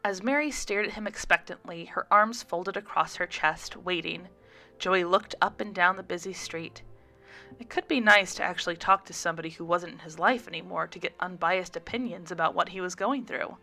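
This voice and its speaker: Woman reading fiction aloud, expressive, bright voice a bit on the low side. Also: moderate pace. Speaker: guest audiobook reader. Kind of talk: expressive scripted story narration.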